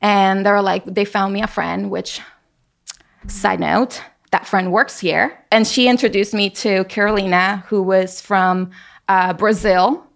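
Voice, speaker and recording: A young woman, a Spanish speaker, tells her story with animation and frequent laughter. Her voice has a gravelly, creaky edge. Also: mid-range voice, fairly even intonation, unhurried. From Venezuela. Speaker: guest. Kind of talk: casual conversation.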